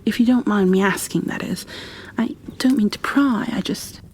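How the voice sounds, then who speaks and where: A woman playing a kind, flustered young bookkeeper in a fantasy ASMR roleplay, speaking softly and breathily, often near a whisper, with gentle hesitations and apologies. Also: mid-range voice, normal speed, natural voice. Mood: worried, caring. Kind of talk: ASMR roleplay, addressed to the listener.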